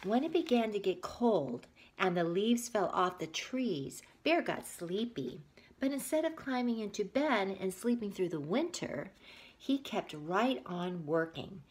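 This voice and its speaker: Animated woman reading a picture book aloud. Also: medium pitch, slightly rough. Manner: expressive, pausing to ask listeners questions. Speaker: teacher reading aloud to young children. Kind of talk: children's picture-book read-aloud with asides to young listeners.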